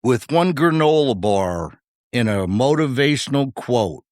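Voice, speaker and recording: Wry male podcaster, very animated delivery. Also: medium pitch, unhurried, no room sound, studio quality. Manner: punchy, short rhetorical phrases with wry humour. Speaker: podcast co-host. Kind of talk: scripted conversational podcast commentary.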